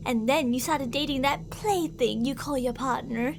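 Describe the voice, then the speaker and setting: A woman's voice: sits high, normal speed, vocal fry, noisy background. A woman playing an obsessive, delusional stalker in an ASMR roleplay, theatrical and sweetly menacing, with jealous, volatile endearments and reproaches. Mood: obsessive hurt.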